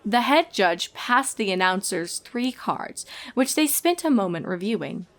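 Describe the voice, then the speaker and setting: A woman reading scripted story narration in a measured, expressive storytelling manner. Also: mid-range voice. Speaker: fan fiction reader and narrator.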